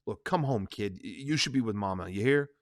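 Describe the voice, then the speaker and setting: A man voicing a gruff, hot-headed older brother in a crime family. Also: fairly low pitch, animated, fairly quick, dry recording, clean studio sound. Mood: grim.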